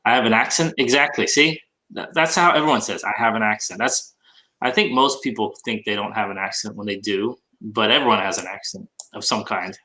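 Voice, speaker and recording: A man's voice: on the low side, a little fast, bright, forceful tone, rough recording. A man with a Minnesota accent hosting a casual podcast hangout, teasing his co-host in friendly banter while he works. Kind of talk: casual chat while listing items.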